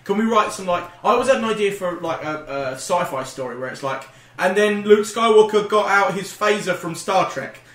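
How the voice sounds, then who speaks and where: Bright-voiced man bantering in a roomy space. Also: high voice, expressive, fairly quick, hardly any pauses. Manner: rambling, riffing, swearing. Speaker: podcast co-host. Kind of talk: casual comic banter. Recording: roomy, echoey group recording.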